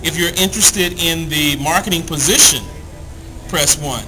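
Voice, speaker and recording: A man's voice: on the high side, normal speed, poor audio. A man speaking as a guest, explaining tools in an informal, plain-spoken, instructional way.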